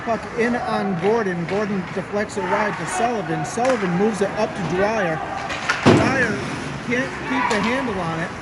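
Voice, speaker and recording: A hoarse man with a cold, calling hockey play-by-play in a brisk, matter-of-fact way. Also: sits high, heavy background noise. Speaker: play-by-play commentator.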